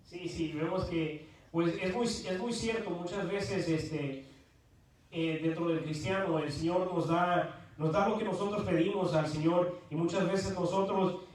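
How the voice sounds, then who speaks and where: A man's voice: sits high, fairly even intonation, a little mellow. Earnest man, echoing distant church sound. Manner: measured, earnest, some hesitations. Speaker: church brother co-presenting. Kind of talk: sermon commentary. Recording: echoey room, mic at a distance.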